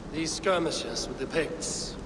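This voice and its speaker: An actor playing a wry, loyal, level-headed Viking warrior leader in a video game, speaking bluntly with dry teasing and command. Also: male voice, mid-range voice, background noise. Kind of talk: dramatic video game acting.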